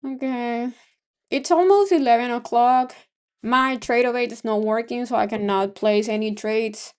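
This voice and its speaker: A woman's voice: on the high side, a little slow, smooth. A woman from Venezuela with a Venezuelan Spanish accent, hosting a live trading stream in casual, think-aloud commentary full of ums. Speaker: livestream trading host.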